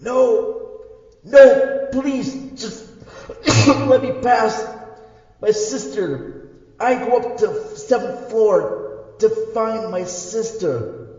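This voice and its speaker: A man voicing a weary, dying old priest in a radio play, weak and wheezing, in halting broken English. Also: sits high, mellow, very echoey, in a large hall, lo-fi sound, pitched above the performer's own voice. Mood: weary, pleading. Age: older. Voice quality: weak, wheezing, labored breath with coughs.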